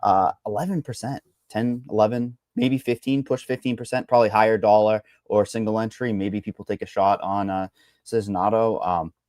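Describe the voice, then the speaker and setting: A man's voice: lowish voice, steady tone, moderate pace, darkish tone, no room sound, studio quality. A man with a Boston accent, co-hosting a casual fight betting chat, rambling through odds with blunt, salty asides. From Boston, Massachusetts. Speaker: MMA betting analyst.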